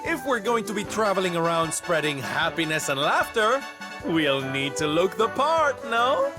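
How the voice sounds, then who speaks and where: A man's voice: high-pitched, sing-song, a little slow, over music. An actor playing a flamboyant, kindly male circus entertainer in a video game, camp, dramatic and affectionate. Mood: delighted. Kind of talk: theatrical video game acting.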